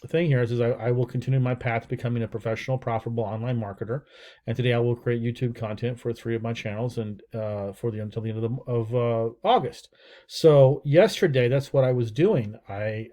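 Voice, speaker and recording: A man's voice: mid-range voice, fairly even intonation, normal speed, dark tone. A middle-aged American man talking casually to camera about his daily routine, rambling with many fillers and restarts. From United States. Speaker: real estate entrepreneur and channel host. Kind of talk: casual unscripted talking-head video.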